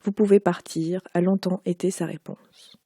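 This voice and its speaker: A woman in her forties from Quebec, speaking with a Parisian French accent, reading aloud from her own book in a measured, unhurried way. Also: lowish voice, fairly even intonation, mellow, slightly rough, dry recording, studio quality. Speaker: author.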